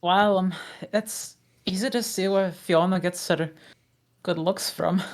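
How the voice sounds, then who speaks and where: Woman playing an awkward male ogre, slow-paced. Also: lowish voice, steady tone, close to an everyday voice. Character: awkward, blunt, good-natured male ogre. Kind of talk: comedic table read of animated film script.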